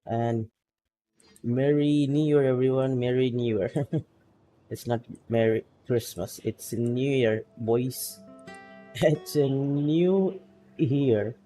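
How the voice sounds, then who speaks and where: Male streamer, relaxed and slow-talking, casual chatter with frequent laughs. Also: medium pitch, faint music behind, low-quality recording. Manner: laid-back, chatty, laughs often, greets chat by name. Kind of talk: casual gameplay stream chat.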